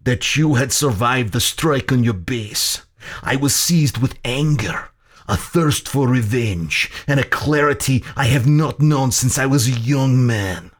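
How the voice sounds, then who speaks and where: Man acting an oily, gloating general, slow and menacing. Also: mid-range voice, expressive, voice pushed up. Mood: vengeful, gloating. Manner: sultry, theatrical, deliberate.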